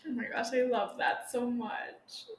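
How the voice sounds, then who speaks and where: A woman's voice: fairly high pitch, flat delivery, a little slow, roomy sound. A woman podcast host asking her guest questions with enthusiasm. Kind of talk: interview questions.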